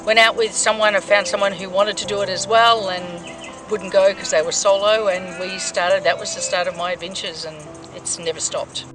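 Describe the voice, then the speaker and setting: A woman's voice: mid-range voice, fairly even intonation, moderate pace, over music, poor audio. A middle-aged woman from the United States talking casually and enthusiastically in an interview about her own experiences. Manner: enthusiastic, chatty. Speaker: hiker interviewed for a documentary. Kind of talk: casual, anecdotal interview talk.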